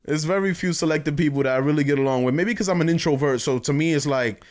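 A man's voice: fairly high pitch, fast talker. A man from the Dominican Republic with a Brooklyn accent, co-hosting a podcast in loose street slang with stammering restarts. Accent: Brooklyn, New York. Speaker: podcast co-host. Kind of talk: casual chat.

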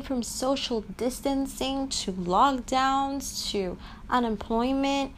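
High-pitched young woman hosting a podcast. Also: a little hiss or hum. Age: young adult. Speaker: podcast host. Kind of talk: chatty podcast hosting addressed to listeners.

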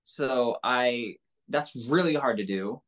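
Woman with a low speaking voice, candid and relaxed. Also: takes it slowly. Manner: relaxed, candid, many fillers and restarts. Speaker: singer-songwriter guest. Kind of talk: casual interview conversation.

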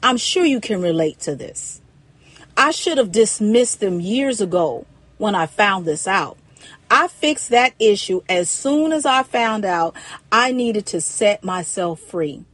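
A woman's voice: medium pitch, lively intonation, unhurried, fairly bright tone, no room sound. A woman storyteller delivering a prepared personal monologue. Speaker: featured storyteller.

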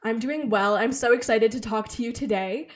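A woman's voice: a little high, normal speed. A woman hosting an interview podcast, reading a guest introduction and asking friendly questions. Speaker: podcast host.

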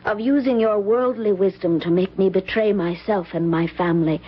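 A woman's voice: medium pitch, normal speed, breathy edge, lightly acted. An actress playing an anguished young woman resisting forbidden love in an old-time radio drama, speaking formally and with agitation. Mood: reproachful. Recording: muffled lo-fi old radio, hum, hiss.

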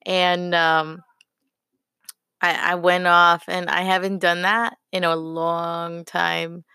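Woman podcast host, slightly hoarse, earnest and rambling. Slow, gravelly delivery, dry close sound. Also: on the low side, level tone, bright, forceful tone, no room sound. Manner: earnest, rambling, lots of fillers. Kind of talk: casual solo podcast talk.

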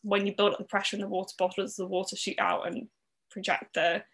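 A young woman with a Southern English accent talks as a podcast guest. She is chatty and fills her sentences with "like". Her pitch sits in the middle for a woman. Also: little pitch movement, fast, a bit bright. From Netherlands. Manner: chatty, frequent "like" fillers. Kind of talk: podcast interview, personal story.

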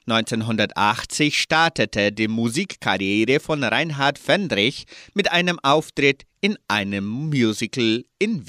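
Slow, lively-toned male radio presenter. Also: mid-range voice, no room sound, clean studio sound. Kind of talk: scripted radio announcement.

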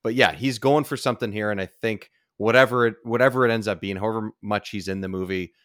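A man's voice: lowish voice, steady tone, quick pace, fairly bright tone, no room sound, studio quality. A man in a casual podcast discussion, conversational, often repeating and rephrasing his points.

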